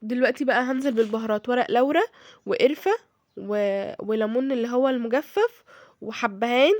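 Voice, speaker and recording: Woman, Egyptian Arabic accent, hoarse from a cold, chatty cooking vlogger, quick talker, dry close sound. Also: on the high side, a little mellow, no room sound. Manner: chatty, addresses viewers directly, frequent fillers and check-ins. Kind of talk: casual cooking tutorial.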